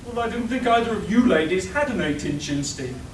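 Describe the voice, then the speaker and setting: A woman's voice: mid-range voice, lively intonation, a little fast, a little mellow, natural voice. A woman playing a vain, exasperated clockwork machine tailor in a live radio play, pompous, melodramatic and self-pitying. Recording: echoey hall, distant mic, faint noise.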